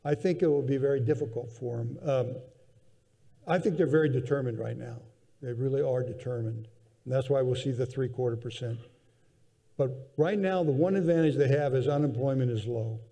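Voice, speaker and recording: Older male panel guest, gravelly and measured, echoing hall. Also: medium pitch, dark tone. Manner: measured, thoughtful, with frequent restarts. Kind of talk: conference panel discussion. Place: large conference hall stage, seated in armchair. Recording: reverberant convention hall.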